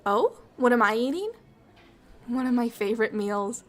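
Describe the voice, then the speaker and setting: Casting: woman as friendly alien diplomat for ASMR roleplay, somewhat high voice, bubbly, talkative, fond and eager to please. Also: normal speed, close to an everyday voice. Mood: chatty, friendly. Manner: bubbly, chatty, apologetic, excitable. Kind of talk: ASMR roleplay, chatty and intimate.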